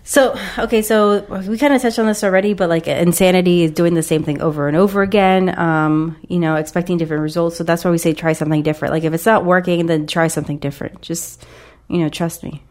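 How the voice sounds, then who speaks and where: A woman with a lowish voice talks in a loose, rambling way, full of fillers. She is getting over a cold and sniffles now and then during the relaxed chat. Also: quick pace. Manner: rambling, many fillers like "like" and "you know". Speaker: podcast co-host. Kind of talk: casual dating-advice chat.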